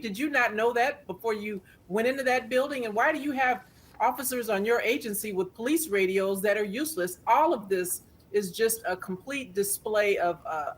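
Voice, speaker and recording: A woman's voice: medium pitch, slight background noise. A retired police sergeant, a woman, speaking bluntly and indignantly as a guest in a news interview.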